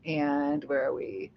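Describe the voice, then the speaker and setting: A woman's voice: sits low, a little hiss or hum. A middle-aged woman from Ohio hosting a casual livestream, rambling and laughing as she reads the chat. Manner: chatty, rambling, laughs often. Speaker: livestream host. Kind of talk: casual live chat, reading comments. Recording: dull lo-fi livestream audio.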